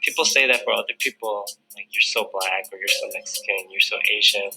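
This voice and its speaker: Hesitant low-voiced man. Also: a little fast, bright, forceful tone, faint music behind, rough recording. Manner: hesitant, stammering restarts. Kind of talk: interview.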